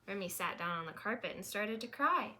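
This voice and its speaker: Lively woman reading a children's storybook. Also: mid-range voice, fairly quick. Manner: simple, child-directed, wondering aloud. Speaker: storybook reader, teacher. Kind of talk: animated children's read-aloud with chatty asides.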